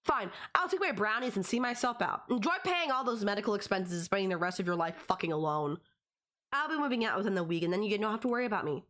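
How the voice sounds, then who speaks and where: A woman's voice: medium pitch, animated, fast talker, fairly bright tone, close to an everyday voice. A woman playing a possessive, teasing young stalker in an audio roleplay, talking straight to the listener in a conversational, condescending yet affectionate way. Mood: huffy, hurt.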